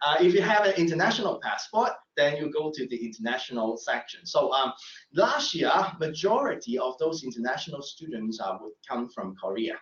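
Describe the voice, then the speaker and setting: Halting professor, roomy distant sound. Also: male voice, mid-range voice, big pitch swings, fairly bright tone. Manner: halting, many fillers and restarts. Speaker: professor presenting admissions talk. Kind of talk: live webinar presentation. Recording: echoey room, distant mic, dull sound.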